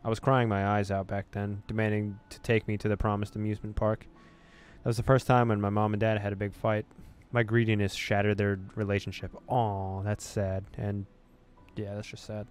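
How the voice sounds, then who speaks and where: A man's voice: fairly low pitch, flat delivery, moderate pace, clear, resonant tone. A man hosting a gaming video, reading on-screen story text aloud in a dramatic way with casual reactions.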